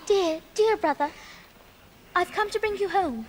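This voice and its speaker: An actress playing a delicate young sister in a musical radio drama, affectionate and eager. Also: female voice, very high-pitched, expressive, measured pace, slight background noise.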